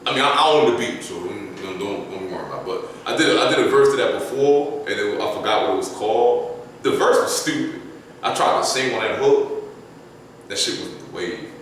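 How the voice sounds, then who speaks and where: Animated man on a roomy distant mic. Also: brisk. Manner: laid-back, slangy, climbs high and loud when animated. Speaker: rapper guest. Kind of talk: casual podcast chat. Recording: echoey room, distant mic, faint noise.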